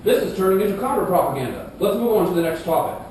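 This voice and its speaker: A man's voice: lively intonation, fast, a little mellow. A man playing a formal debate moderator and commentator in a scripted puppet show. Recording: echoey room, distant mic, rumbling noise.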